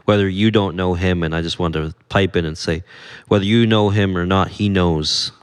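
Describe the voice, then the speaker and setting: A man's voice: deep voice, fairly even intonation, normal speed, clean tone. A man speaking as a guest on a podcast in casual chat, earnest and sincere, often repeating words as he works out his thoughts.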